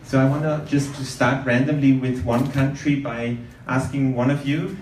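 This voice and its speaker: A man from Austria hosting a live event, cheerfully rallying the audience with shouted questions. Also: mid-range voice, fairly even intonation, moderate pace. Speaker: event organizer and host. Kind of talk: upbeat crowd-work introduction. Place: large event venue indoors. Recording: echoey hall, distant mic, faint room noise.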